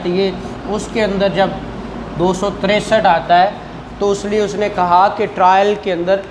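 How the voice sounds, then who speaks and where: Pakistani man teaching law over background noise. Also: high-pitched. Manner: didactic, explains step by step with check-in questions. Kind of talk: classroom lecture, reading statute and explaining it. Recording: clear background noise in the room.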